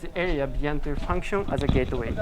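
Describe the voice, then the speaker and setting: A man's voice: a little high, fast, a loud crowd. A man who speaks Japanese natively, hosting and guiding a walking tour outdoors, explaining sights in simple English. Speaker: tour guide and channel host. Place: outdoors on busy town streets. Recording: outdoor street noise.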